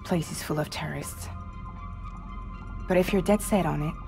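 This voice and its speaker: An actress playing a weary, determined woman courier in a video game, speaking in intense, deliberate, dramatic phrases. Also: low-pitched, creaky. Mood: explaining, weary. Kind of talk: cinematic game acting. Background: music.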